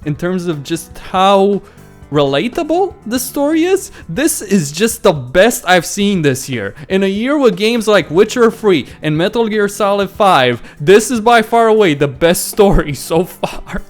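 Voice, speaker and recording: A man's voice: high-pitched, sing-song, measured pace. A man hosting a let's play, reading a visual novel aloud and reacting informally with some mild swearing, with game music behind him. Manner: acts out dialogue and comments informally with mild swearing.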